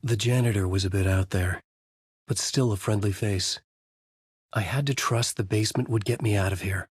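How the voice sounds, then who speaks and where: A man's voice: fairly low pitch, steady tone, normal speed, slightly rough, no echo, clean studio sound. A middle-aged man narrating a video game as a brooding writer, in a noir-like inner monologue. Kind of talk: hard-boiled game narration.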